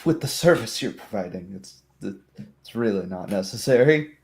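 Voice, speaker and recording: A man's voice: fairly low pitch, expressive, moderate pace, a little mellow. A man voicing a wry, kindly woman barkeep in a tabletop game, talking in a folksy, easygoing way. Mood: friendly, modest.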